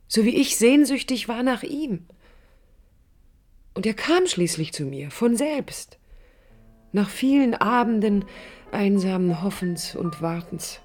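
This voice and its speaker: Husky young woman architect in an old radio drama, lovelorn and musing, music underneath. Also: mid-range voice, lively intonation, slow, pauses often, darkish tone. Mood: wistful longing. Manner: reflective, intimate, at times near whispering. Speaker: radio drama actress, narrator and lead. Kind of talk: 1980s German radio play acting, intimate monologue.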